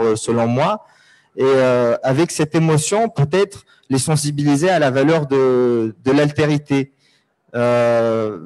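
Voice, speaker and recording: A man's voice: medium pitch, darkish tone, rough recording. A Tunisian man, an Arabic native speaker, speaking thoughtfully on a panel with many hesitations and fillers. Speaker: writer on a panel. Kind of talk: reflective panel talk.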